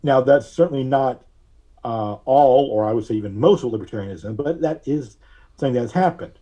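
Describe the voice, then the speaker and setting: A man speaking as a podcast guest, giving conversational political commentary in a measured, wry, halting way. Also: medium pitch, dark tone, dull audio.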